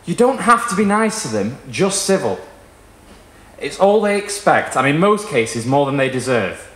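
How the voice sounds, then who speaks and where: A man voicing a breezy, worldly young woman from a period short story, with brisk old-fashioned gushing. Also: fairly high pitch, big pitch swings, a bit bright, echoey room.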